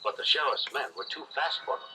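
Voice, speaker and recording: A man's voice: mid-range voice, fast, very noisy. A man narrating a children's read-along story in a dramatic, theatrical, scripted style. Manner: theatrical, animated. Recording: music behind, played read-along recording.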